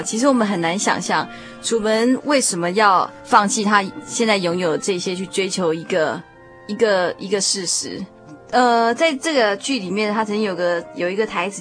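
A woman's voice: medium pitch, moderate pace, a bit bright, faint music behind. A woman hosting a conversational panel discussion, prompting the others and affirming what they say. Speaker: discussion host.

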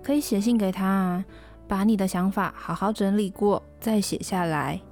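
A woman's voice: medium pitch, moderate pace, a little mellow, natural voice. Casting: actress as thoughtful young woman, Taiwanese accent, caring dorm mate, radio drama with music behind. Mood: gentle, earnest. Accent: Taiwanese Mandarin. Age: young adult.

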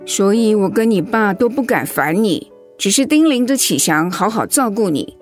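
An actress in a Mandarin radio drama playing a caring older mother-in-law, soothing, motherly and gently wry. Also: medium pitch, expressive, moderate pace, soft background music, lightly acted. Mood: concerned.

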